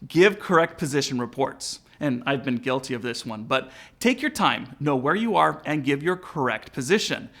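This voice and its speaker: A man's voice: mid-range voice, expressive, normal speed, hardly any pauses, fairly bright tone. A man presenting an instructional narration as a pilot, explaining in an informal way.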